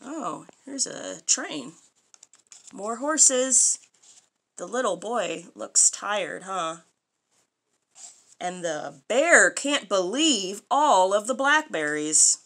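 A woman in her thirties reading a picture book aloud to a young child in an expressive, sing-song way, adding questions and comments. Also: mid-range voice, drawn-out words, pauses often. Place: small furnished room indoors.

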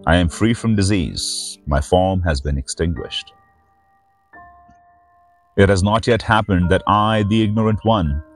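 A man speaking in a solemn, measured way as a spiritual host reading sacred verse. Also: on the low side. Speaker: spiritual teacher and reader, host of the series. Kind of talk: slow devotional reading of sacred verse with short host remarks.

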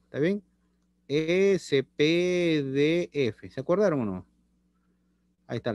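A man's voice: a little high, a little mellow, dry recording, studio quality. A middle-aged man teaching a chemistry class over a video call, repeating phrases and checking in with students. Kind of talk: online class lecture. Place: small room indoors. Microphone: laptop webcam on video call.

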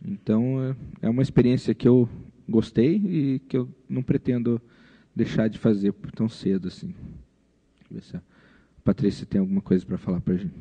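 A man's voice: medium pitch, normal speed, dark tone, slightly rough. A man in his thirties with a Brazilian accent, speaking informally as a panelist into a handheld microphone. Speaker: panelist, moderator. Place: conference panel stage, indoors. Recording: slightly dull conference sound.

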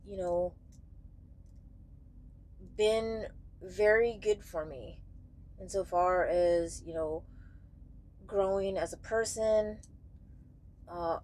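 A young woman talking casually and candidly to camera in a halting way, searching for words as she goes. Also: on the low side, a little mellow, slight background noise. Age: young adult. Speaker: truck driver vlogger. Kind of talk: casual vlog talk to camera. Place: small padded truck sleeper bunk, indoors.

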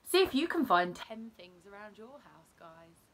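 A middle-aged woman reading a children's story aloud like a teacher, with deliberate, emphatic storytelling for young children. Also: fairly high pitch, very animated, fairly bright tone. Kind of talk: expressive picture-book read-aloud.

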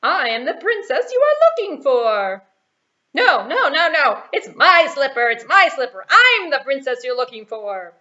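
A woman's voice: high voice, very animated, measured pace, pushed and bright, pitched above the performer's own voice, exaggerated voice. A woman voicing a bossy, whiny, jealous young stepsister in a puppet show, on a dull recording. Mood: pushy, squabbling.

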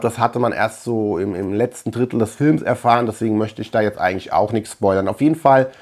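A middle-aged man giving a casual film review to camera as a host, halting as he searches for words. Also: mid-range voice, darkish tone. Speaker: host of a film collection channel. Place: small room lined with shelves of collectibles.

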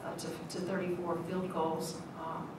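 Middle-aged woman coach at postgame presser, measured and halting, slightly low voice, very echoey room. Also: flat delivery. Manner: measured, many ums and uhs, restarts. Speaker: head basketball coach. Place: press room, seated at a table. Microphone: table mic, at a distance. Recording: echoey room, mic at a distance, noisy.

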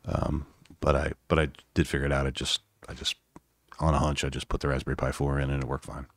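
A man giving a casual, unscripted electronics demo in a halting, self-deprecating way with wry humor. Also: a bass voice, flat delivery, dry recording, studio quality. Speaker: electronics hobbyist presenter. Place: indoors at a home workbench.

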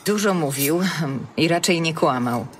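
A woman's voice: low voice, lively intonation, unhurried, a little hiss or hum. An actress playing a tough, sardonic woman ranger in a fantasy audiobook drama. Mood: serious. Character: tough, sardonic female ranger, hardened warrior.

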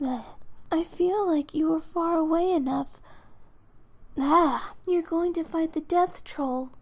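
Woman as a sweet young heroine, very high mellow voice, slow, halting, game fandub. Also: heavily acted. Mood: earnest, exasperated. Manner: halting, exasperated at own flubs. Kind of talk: amateur game fandub bloopers.

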